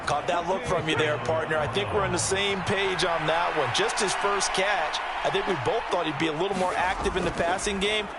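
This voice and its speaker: An actor playing a chatty, assured American color commentator, explaining football conversationally in a video game. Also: male voice, sits high, fairly even intonation, brisk, few pauses, heavy background noise, close to an everyday voice. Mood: approving, engaged.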